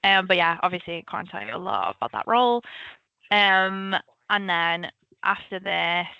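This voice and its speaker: A young woman with a Liverpool accent talks through her career in a chatty, informal way, hurrying a little to fit it all in. Her voice is bright and forward. The sound is dry and a touch muffled, like online call audio. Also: on the low side, animated, normal speed, gravelly, smooth, dry recording. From Liverpool, England. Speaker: government analyst giving a career talk. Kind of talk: casual webinar presentation.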